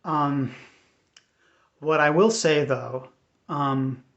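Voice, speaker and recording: An American man giving an unscripted personal update in a measured, earnest, hesitant way. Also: fairly high pitch, fairly bright tone. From United States. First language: English. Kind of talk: unscripted personal talk to camera. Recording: dull, lo-fi sound.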